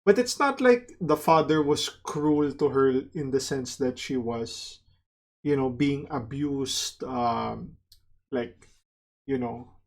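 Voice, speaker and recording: Slow-paced casual male reaction host. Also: mid-range voice, creaky. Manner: informal, slangy, halting.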